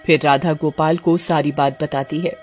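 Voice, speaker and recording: A woman's voice: deep voice, fairly even intonation, normal speed, a little mellow, soft background music. A woman giving scripted story narration for a cartoon.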